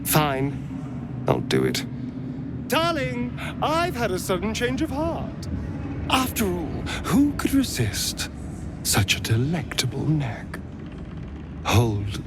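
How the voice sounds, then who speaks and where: A man's voice: high-pitched, wildly swooping pitch, takes it slowly, pauses often, background noise, heavily acted. Theatrical, swooping male vampire. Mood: reluctant, seductive. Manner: camp, theatrical, disdainful. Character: fastidious, theatrical male vampire, sardonic and seductive. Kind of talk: video game cutscene acting. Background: music.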